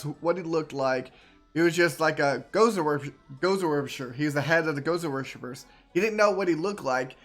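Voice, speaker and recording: A man with a somewhat high voice gives casual game commentary as a streamer. He rambles enthusiastically and repeats himself, with faint music underneath. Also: fairly bright tone.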